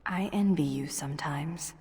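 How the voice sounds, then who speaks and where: A proud young elf woman from a fantasy game, voiced by an actress. Her voice sits low, earnest and wistful, with measured phrasing. A long reverb trails after it. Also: mellow, close to an everyday voice. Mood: wistful, indignant. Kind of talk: video game voice acting.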